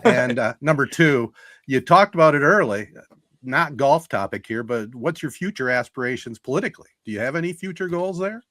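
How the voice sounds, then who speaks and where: Older male co-host, measured and plain-spoken, medium pitch, dry recording. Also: expressive, a bit bright, dry recording. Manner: plain, measured questions with short agreeing replies. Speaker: podcast co-host. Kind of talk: casual interview.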